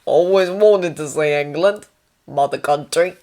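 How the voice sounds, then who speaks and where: A woman's voice: deep voice, a little slow, warm tone. Woman playing a drawling, blunt wounded Australian captain. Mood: wistful. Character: wounded Australian army captain, blunt, weary, sardonic man.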